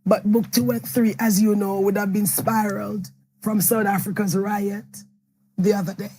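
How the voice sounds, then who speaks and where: Hoarse woman, Jamaican accent, monotone, self-correcting, faint background noise. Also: medium pitch, moderate pace. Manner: rambling, self-correcting storytelling. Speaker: author. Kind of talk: interview answer at a book event.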